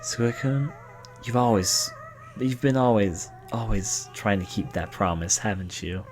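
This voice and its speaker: A man voicing an earnest, protective young female student in a video game, pleading and halting as he reads the dialogue aloud. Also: on the low side, a little mellow, soft background music, natural voice.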